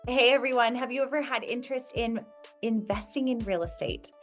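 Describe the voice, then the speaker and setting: A woman's voice: a little high, fairly quick, faint music behind. A woman hosting a podcast, introducing the show and her guest with enthusiasm. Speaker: podcast host. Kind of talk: scripted podcast intro and interview.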